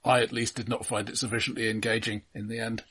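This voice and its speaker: A man co-hosting a film podcast, talking casually in a measured, hesitant way with dry understatement. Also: lowish voice, flat delivery, fairly bright tone, breathy edge.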